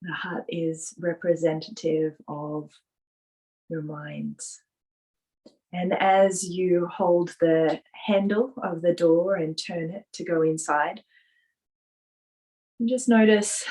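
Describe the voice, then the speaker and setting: A woman leading a guided visualisation meditation, speaking softly in a gentle, soothing manner with drawn-out pauses. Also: fairly low pitch, fairly even intonation, low-quality recording.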